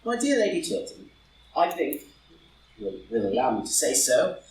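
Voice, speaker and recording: A man playing a witty, idle English lord and loyal friend in a live reading of a stage comedy, speaking haltingly with pauses. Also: medium pitch, huge pitch swings, darkish tone. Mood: hesitant, tactful. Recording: roomy, distant, noisy live group recording.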